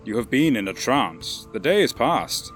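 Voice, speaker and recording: A man's voice: mid-range voice, big pitch swings, unhurried. Man playing a terse, stoic elven warrior, music behind. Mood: stern, impatient. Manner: terse, formal, commanding. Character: male Eldar warrior, stoic, disciplined, impatient but loyal. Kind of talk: audio drama acting.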